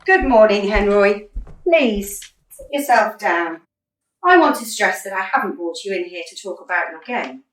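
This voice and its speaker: A woman's voice: mid-range voice, animated, moderate pace, fairly bright tone, close to an everyday voice. A woman acting a blunt, exasperated female football manager in a radio play, scolding and emphatic. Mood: firm, persuasive. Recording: roomy, distant microphone.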